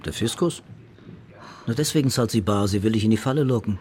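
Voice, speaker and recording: A man's voice: lowish voice, brisk, darkish tone, lightly acted. Man acting a placating middle-aged lover. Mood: placating, reluctant. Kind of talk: German radio crime drama acting.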